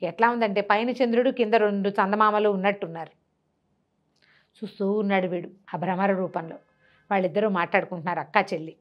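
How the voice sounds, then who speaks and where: A woman storyteller with a mid-pitched voice narrates an old tale as if chatting to a listener. She throws in rhetorical questions and voices the characters' dialogue herself. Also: fairly even intonation, fast talker, lo-fi sound. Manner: conversational, asks rhetorical questions, acts out dialogue. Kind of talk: animated oral storytelling of a traditional tale.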